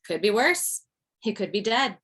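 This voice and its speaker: A woman's voice: mid-range voice, very animated, a little slow, fairly bright tone. A woman playing a wry, blunt mother in a comedy script table read. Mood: biting, wry. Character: wry, blunt widowed mother of two, former standup comic. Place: remote video call.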